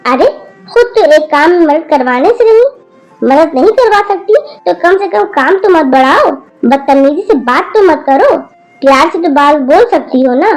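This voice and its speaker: A woman's voice: high voice, animated, normal speed, a little mellow, faint music behind, low-quality recording. A woman voicing a stern older grandmother who lectures her rude granddaughter firmly. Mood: lecturing. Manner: scolding, lecturing, firm.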